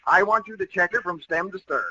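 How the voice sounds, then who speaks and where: A man's voice: sits high, fairly quick, pushed and bright, exaggerated voice. An actor playing a blustery, jolly, pompous lodge leader and businessman in a 1940s radio comedy. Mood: jovial, secretive. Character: blustery, secretive male lodge leader and real-estate boss. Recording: hissy, muffled old radio broadcast.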